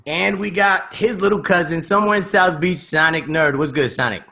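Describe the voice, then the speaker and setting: A man's voice: on the high side, moderate pace, bright, forceful tone. A man hosting a casual podcast in slangy, emphatic hype-man banter. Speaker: podcast host.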